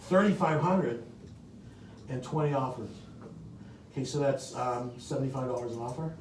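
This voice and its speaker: A man's voice: medium pitch, normal speed, a little mellow. Guy running a sales seminar, chatty, keeps saying okay?, echoey room, mic kinda far off. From United States. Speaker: real estate sales trainer. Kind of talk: live seminar coaching. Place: meeting room with a small audience. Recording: echoey room, recorded from afar, faint hiss.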